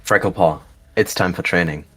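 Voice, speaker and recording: A man voicing a stern but gentle adult male warrior cat, a mentor giving measured instruction in a roleplay. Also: low voice. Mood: gentle, instructing.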